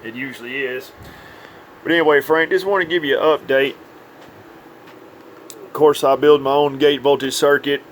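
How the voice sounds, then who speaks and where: Plain-spoken man over clear background hum. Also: on the high side, steady tone, moderate pace, long pauses, poor audio. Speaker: CB radio repair technician. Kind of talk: informal hands-on demonstration and update for a customer. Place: indoors at a repair workbench.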